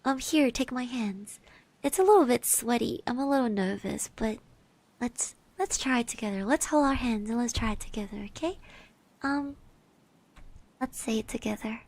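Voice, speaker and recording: A woman playing a shy, caring schoolgirl classmate in a soft, partly whispered ASMR roleplay, speaking hesitantly and bashfully with gentle encouragement. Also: a little high, normal speed. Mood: shy, encouraging.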